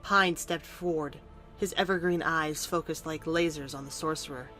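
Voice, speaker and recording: Low-pitched woman narrating a fantasy tale. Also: steady tone, normal speed, bright, forceful tone. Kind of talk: scripted storybook narration for audio drama. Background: music.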